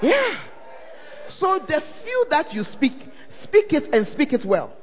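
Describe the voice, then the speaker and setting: High-voiced Ghanaian preacher in a hall. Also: male voice, huge pitch swings, slow pace. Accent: Ghanaian English. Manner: call-and-response, often asks the crowd "are you there?". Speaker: pastor. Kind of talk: animated preaching sermon. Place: church hall. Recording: dull, echoing church hall recording.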